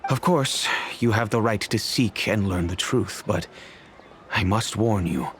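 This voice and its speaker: An actor playing a grave older patriarch of a wealthy family, speaking in a measured, solemn storytelling manner. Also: male voice, lowish voice, a bit bright, a little hiss or hum. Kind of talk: video game voice acting.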